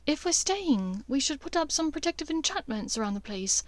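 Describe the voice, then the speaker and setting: An anxious young witch, voiced by a woman narrator, speaks high and urgently. She pleads in halting, breathless phrases, as in a dramatic fantasy audiobook, with a close, dry sound. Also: very animated, dry recording. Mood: tense, practical.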